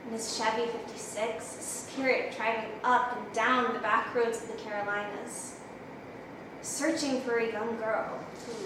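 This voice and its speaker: A woman performing a dramatic stage monologue as a grown woman haunted by memories, telling her story in a measured, reflective way. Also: on the high side. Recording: roomy echo, distant mic, faint noise.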